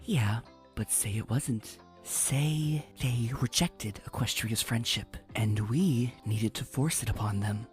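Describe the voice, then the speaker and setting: A woman voicing a cool, domineering warrior mare in a cartoon comic dub, deadpan and dryly menacing. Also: a bass voice, takes it slowly, faint music behind. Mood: coolly scheming.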